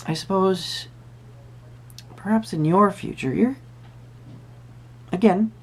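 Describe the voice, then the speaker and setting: A performer playing a whimsical magical tarot reader, musing hesitantly in a soft-spoken roleplay with a creaky voice. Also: medium pitch, heavily acted. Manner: hesitant, musing, pausing with hums.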